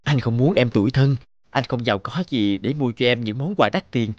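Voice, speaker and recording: A man's voice: medium pitch, normal speed, no room sound, dull audio, natural voice. Earnest young man voiced by an actor. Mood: tender. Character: earnest, dutiful young man, boyfriend and son. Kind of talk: animated drama voice acting.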